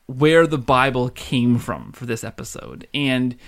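A man's voice: medium pitch, measured pace, vocal fry, fairly bright tone. A man hosting a podcast in a conversational, enthusiastic way, introducing and interviewing. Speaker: podcast host.

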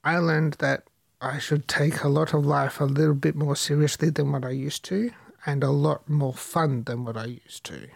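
Guy, forties, gravelly voice, dry close mic, lively storyteller. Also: a little high, normal speed, no echo. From Australia. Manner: chatty, vivid storyteller. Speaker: podcast guest, old classmate. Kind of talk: casual chat.

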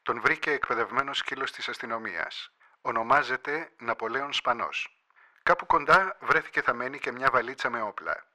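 An actor playing a formal evening-news announcer in a radio play, factual in delivery. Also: male voice, medium pitch, bright, forceful tone.

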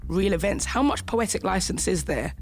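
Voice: mid-range voice, animated, moderate pace, soft background music. An interviewer asking curious, friendly questions.

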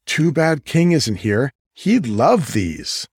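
An eager male golden retriever from an animal storybook, read by a man. He is playful and excitable, speaking expressively. The recording is dry. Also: mid-range voice, slow pace, husky, dry recording, pitched above the performer's own voice, full character voice.

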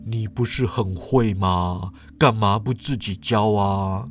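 A man's voice: fairly low pitch, slow pace, faint music behind, pitched below the performer's own voice. A man voicing a dejected young male student who rambles and complains as he tells a story.

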